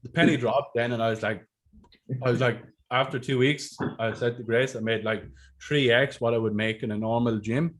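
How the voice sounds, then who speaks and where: Picture an Irish man in his thirties on a video call, speaking into a desk mic at home. He tells his story bluntly and with lots of swearing, in a voice of average pitch for a man. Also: fairly even intonation, moderate pace. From Ireland. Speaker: podcast host. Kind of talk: casual storytelling chat. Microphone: desk microphone on a video call.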